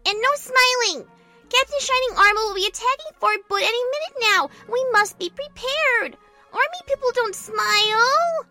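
Very high, bossy little girl general. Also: big pitch swings, moderate pace, sharp tone, exaggerated voice. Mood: bossy, barking. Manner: earnest, pompous, childlike narration with barked orders. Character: bossy self-important little girl playing army general. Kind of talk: first-person story narration. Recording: music behind the voice.

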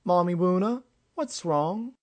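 A small, innocent pony toddler acted out by a man for a storybook. The voice jumps very high, with babyish speech, soft r sounds turned to w, and a caring, simple manner. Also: animated, takes it slowly. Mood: worried.

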